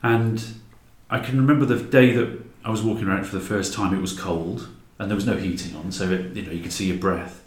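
A man's voice: sits low, steady tone, brisk. A man who makes audio dramas, chatting casually and anecdotally as a podcast guest. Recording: echoey room.

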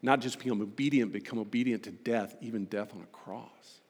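A man's voice: fairly low pitch, breathy edge. Man preaching in a very reverberant hall. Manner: emphatic, rhetorical repetition with pointed pauses. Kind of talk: sermon to a congregation.

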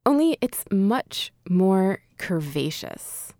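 A woman's voice: medium pitch, very animated, takes it slowly, darkish tone, dry recording, studio quality. A woman hosting and narrating a scripted audio documentary. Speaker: podcast host and narrator.

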